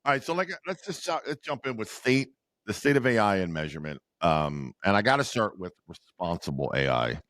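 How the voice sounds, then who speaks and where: A man with a fairly low voice hosts an interview podcast. His delivery swings widely in pitch, animated and playful, and he pokes fun at himself over hard names. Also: brisk. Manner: lively, self-deprecating about name pronunciations. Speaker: podcast host. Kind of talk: interview hosting.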